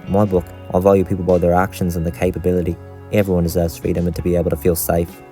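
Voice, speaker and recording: Man voicing idealistic outcast leader, cartoon drama, flat intonation, deep, speechmaking, music underneath. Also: racing, lightly acted. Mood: earnest, reassuring. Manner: earnest, speechmaking. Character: principled outcast vigilante leader, steady and idealistic. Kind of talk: amateur animated series voice acting.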